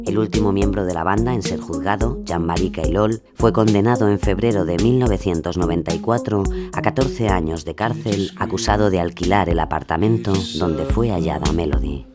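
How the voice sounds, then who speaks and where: A man's voice: low voice, normal speed, hardly any pauses. A man narrating a scripted true-crime audio documentary. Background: music.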